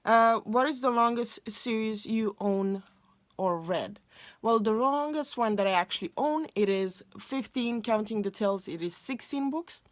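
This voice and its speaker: A woman's voice: a little high, measured pace, no room sound. A young woman from Romania, a native Romanian speaker, chatting casually to camera about books with many fillers and restarts. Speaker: book vlogger.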